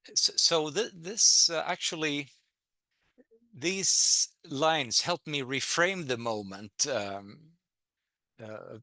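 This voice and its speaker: A man's voice: mid-range voice, bright, forceful tone, dry recording. A middle-aged man with a Swedish accent giving an online public talk from home, hesitant, with many fillers and restarts. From Sweden. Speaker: guest lecturer. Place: home in a town, by video link. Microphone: computer mic on a video call.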